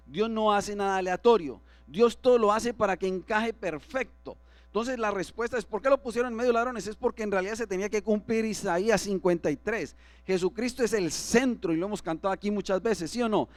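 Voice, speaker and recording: A man's voice: high-pitched, no room sound. A man preaching as pastor to his congregation, often asking them for agreement. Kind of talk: sermon. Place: church.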